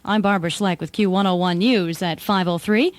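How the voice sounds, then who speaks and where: A woman's voice: lowish voice, brisk, no room sound. An American woman reading scripted news as a radio anchor. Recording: 1980 radio broadcast aircheck.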